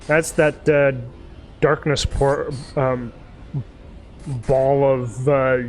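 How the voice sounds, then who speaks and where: A man's voice: mid-range voice, flat delivery, extremely slow. A man doing let's play commentary, reading game dialogue aloud in a playful, theatrical way with off-the-cuff asides.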